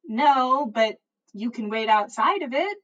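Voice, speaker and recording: A teenage schoolgirl friend from a storybook, read by a woman. She has a fairly high voice and a direct, matter-of-fact, prompting way of talking. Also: lively intonation, a little slow, fairly bright tone, dull audio.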